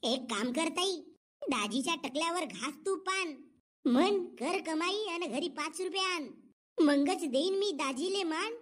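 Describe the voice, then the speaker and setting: A man's voice: extremely high pitch, lively intonation, a little slow, a bit bright, full character voice. A man with a rural Maharashtrian accent voicing a cheeky, insulting young brother-in-law in a comedy cartoon, mocking and scolding. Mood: taunting.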